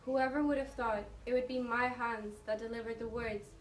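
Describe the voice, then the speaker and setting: Woman playing wartime postwoman, measured slam poetry, echoey room, faint noise. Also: on the high side, steady tone, close to an everyday voice. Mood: reflective, indignant. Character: thoughtful, proud mother working as wartime postwoman. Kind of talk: dramatic slam poetry recital. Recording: noisy, echoey room, mic far off.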